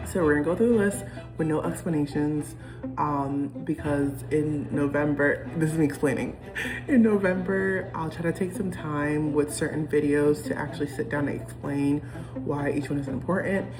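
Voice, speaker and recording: Low-voiced woman rambling over music. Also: fairly even intonation, normal speed, poor audio. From United States. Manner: rambling and reflective, many ums and restarts. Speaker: vlogger. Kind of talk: casual chat to camera. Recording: music playing behind.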